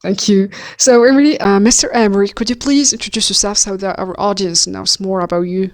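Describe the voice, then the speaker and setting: A woman's voice: mid-range voice, lively intonation, fast, no echo, clean studio sound. A woman with a Moroccan accent and Arabic as her first language, hosting an interview podcast. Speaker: podcast host.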